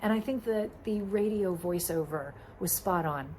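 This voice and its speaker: A woman's voice: lowish voice, a little slow, darkish tone. A woman giving an effusive, emphatic spoken review as an audience member. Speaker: film festival audience member. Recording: faint background noise.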